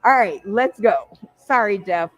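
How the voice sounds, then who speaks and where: A woman's voice: medium pitch, animated, measured pace, no echo, faint music behind. An American woman hosting and moderating a casual discussion panel over dull, lo-fi online call audio.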